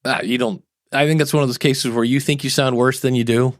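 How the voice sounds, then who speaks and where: A man's voice: mid-range voice, fairly even intonation, brisk, no echo, clean studio sound. A man hosting a podcast, giving his opinions in a casual, rambling way. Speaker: podcast host.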